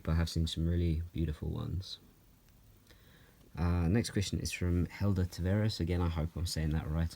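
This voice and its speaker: A young man from Norway talks through viewer questions at home with his snakes. His voice sits very low and mellow, and it stays level, without much rise or fall. He speaks in a calm, unhurried way, full of ums and soft laughs. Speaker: reptile keeper answering viewer questions. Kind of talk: relaxed Q&A vlog. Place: at home, handling snakes while filming.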